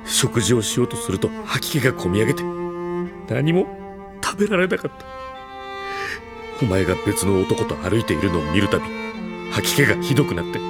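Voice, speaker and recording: A man's voice: medium pitch, close to an everyday voice. An actor playing a tormented, obsessive, guilt-ridden man in an audio drama, confessing his possessive love with anguished intensity. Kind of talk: Japanese audio drama acting. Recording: loud orchestral string music over voice. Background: cello and string music.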